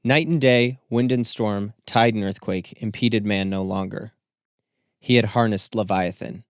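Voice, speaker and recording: Low-voiced man narrating, close and dry. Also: measured pace, no room sound. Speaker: audiobook narrator. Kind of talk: scripted literary narration.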